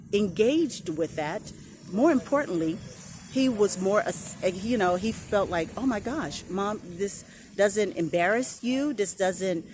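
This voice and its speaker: A middle-aged woman speaks into her phone outdoors, earnest and heartfelt, sometimes hunting for the right word. Her voice sits at an ordinary pitch and she sniffles now and then. A light outdoor hiss runs underneath. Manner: earnest, heartfelt, searching for words. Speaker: vlogger. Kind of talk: reflective personal vlog. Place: outdoors, airplane passing overhead.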